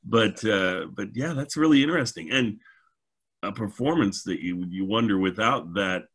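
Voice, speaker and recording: Middle-aged man, American accent, low raspy voice, chatty enthusiastic host, casual video-call talk. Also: animated, moderate pace, a bit bright. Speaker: show host. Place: home, indoors, video call.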